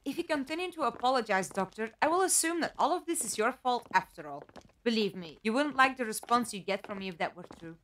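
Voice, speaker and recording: A woman's voice: on the high side, lively intonation, fast talker, fairly bright tone, a little hiss or hum, poor audio. A woman acting a composed, logical amnesiac captive in a fan-made audio drama, with dry, formal wording. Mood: dry, reproachful.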